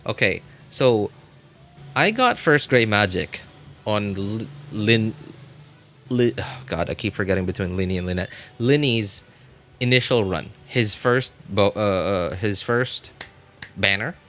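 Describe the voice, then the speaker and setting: A man chatting casually as he comments on gameplay on a live stream, rambling and often correcting himself in a halting way. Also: fairly low pitch, animated, a bit bright. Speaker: game livestreamer.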